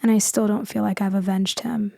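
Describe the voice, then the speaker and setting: A woman playing a melancholy, lonely immortal vampire in soft, hushed storytelling ASMR that drifts into whispers. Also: medium pitch, little pitch movement, a little fast, vocal fry, dry recording, clean studio sound. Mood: bitter, resigned. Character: melancholy immortal female vampire, lonely, tender, haunted by loss. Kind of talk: slow storytelling ASMR roleplay.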